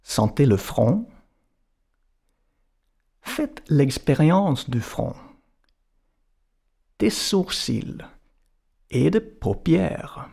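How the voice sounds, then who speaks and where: A man leads a guided relaxation at an extremely slow pace, in short, separated phrases. His voice is fairly high, with a mellow, soft-edged tone and a gravelly creak. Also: sing-song. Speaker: yoga and meditation teacher.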